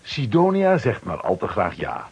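A man's voice: fairly low pitch, wildly swooping pitch, unhurried, creaky, muffled recording, very noisy. A man narrating a scripted children's radio play as a storyteller.

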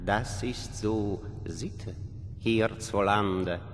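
Very slow, sinister vampire count. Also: male voice, on the low side, music in the background. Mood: courtly menace. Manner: slow, deliberate, polite menace. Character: sinister, courtly vampire count of ancient lineage. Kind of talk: radio drama acting. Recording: long hall reverb, clear background noise.

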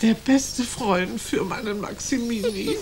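Radio play casting: a weepy, gushing lady dog owner, higher voice, noisy recording. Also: sing-song, a little slow. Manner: theatrical, gushing, tearful. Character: fussy, tearful lady pug owner.

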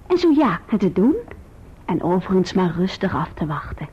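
An actress playing a gentle, sensible young woman in a radio drama, soothing and measured. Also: on the low side, very animated, husky, exaggerated voice. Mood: calm, advising. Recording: dull old radio recording.

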